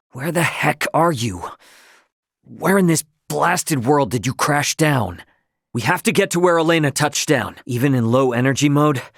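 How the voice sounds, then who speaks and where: A man's voice: medium pitch, no echo, studio quality. A man playing an easygoing, cocky young adventurer hero in a video game, speaking casually and wryly. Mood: concerned, urgent. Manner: casual, colloquial, wry. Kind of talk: acted video game dialogue.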